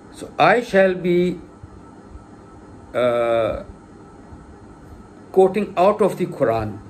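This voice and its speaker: A man's voice: fairly high pitch, darkish tone, slight background noise. A middle-aged man, a native Urdu speaker, giving an informal spoken lecture in a hesitant way, with many fillers and restarts, then stressing key points with emphasis. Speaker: lay religious lecturer.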